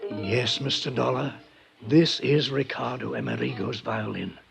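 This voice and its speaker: An actor playing a fussy, indignant violin maker in an old-time radio drama, pompous and flustered in manner. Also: male voice, medium pitch, unhurried, creaky, soft background music. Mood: proud. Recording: noisy vintage radio recording with clicks.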